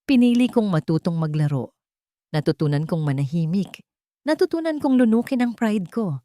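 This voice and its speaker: A woman's voice: mid-range voice, sing-song, moderate pace, dark tone, lightly acted. A woman voicing a cold, proud, wealthy matriarch in an audio drama, speaking with bitter menace. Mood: cold, menacing. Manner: bitter, threatening, whispering venom.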